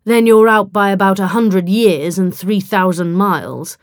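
Wry, protective male time traveller, read by a woman, calm and clipped, storybook hero, dry close recording. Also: medium pitch, a little slow, dry recording, natural voice. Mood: grim, cold.

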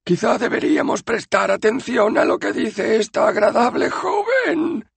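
A man reading an audiobook aloud, voicing a sneering townsman who turns fawning, in a high, strained put-on voice. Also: unhurried, slightly rough, dry recording, full character voice. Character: sneering male townsman, menacing then fawning.

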